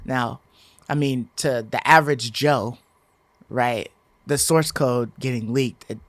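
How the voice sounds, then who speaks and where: A man with a mid-pitched voice talks casually as a podcast co-host. His speech is informal and slangy with some mild swearing, recorded dry and close. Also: steady tone, slow pace, no room sound. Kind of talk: casual gaming podcast chat.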